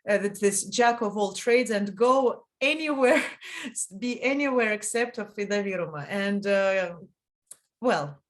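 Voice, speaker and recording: A woman's voice: mid-range voice, lively intonation, unhurried. A young woman from northeast Estonia speaks on an online panel in a mid-range voice. She hesitates often, filling gaps with "uh" and restarting her sentences as she gathers her thoughts. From Ida-Viru County, Estonia. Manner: hesitant, many fillers and restarts. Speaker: youth panelist.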